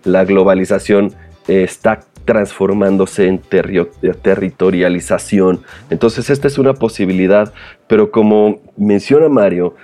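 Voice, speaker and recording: A man's voice: low voice, normal speed, dark tone, soft background music. A man with a Mexican accent, speaking as a podcast host in a conversational, analytical and somewhat hesitant way. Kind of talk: conversational commentary with prepared points.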